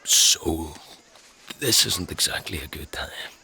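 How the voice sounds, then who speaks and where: A man playing a brooding, reluctant fantasy hero burdened with dark powers, hesitant, guarded and blunt in an audio drama. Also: rumbling low, takes it slowly, gravelly, husky, heavily acted. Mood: defensive, frustrated.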